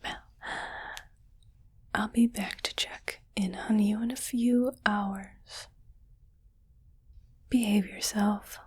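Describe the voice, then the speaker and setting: Woman acting as female alien pet owner, breathy whisper, very slow delivery, condescending, scheming, softly coaxing, ASMR roleplay. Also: medium pitch, steady tone. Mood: patronizing, coaxing.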